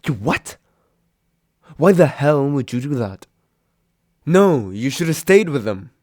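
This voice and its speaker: A man's voice: medium pitch, very animated, takes it slowly, a little mellow, dry recording, studio quality. A young man acting a grumpy, sarcastic but secretly caring roommate woken from sleep, grumbling and sighing in an intimate roleplay audio drama. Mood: alarmed, exasperated. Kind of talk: intimate roleplay audio drama, one side of a phone call.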